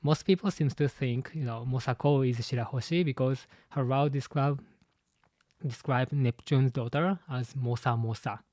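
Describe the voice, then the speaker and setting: Man, Japanese accent, live-stream host, halting, filler-heavy, medium pitch, close dry sound. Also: a little mellow, no echo. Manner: halting, full of "you know" fillers and restarts. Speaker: channel host and translator.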